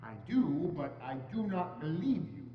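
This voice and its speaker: A man's voice: a little high, big pitch swings, normal speed, faint music behind. A man in a dramatic stage reading, playing a grumpy, scornful, stubborn old miser with a curt, blustering manner. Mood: defiant disbelief. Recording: muffled, echoey church hall, hum and noise.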